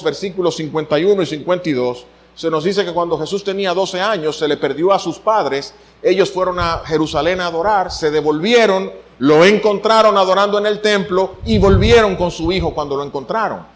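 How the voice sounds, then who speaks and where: A middle-aged man from the Dominican Republic with a Dominican accent, preaching a sermon as pastor, his voice swinging upward to stress a point. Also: on the high side. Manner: animated preaching, voice swinging up for emphasis.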